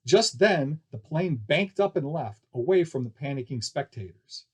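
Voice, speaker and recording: A man's voice: fairly high pitch, animated, normal speed, husky. A man reading a novel aloud as scripted story narration.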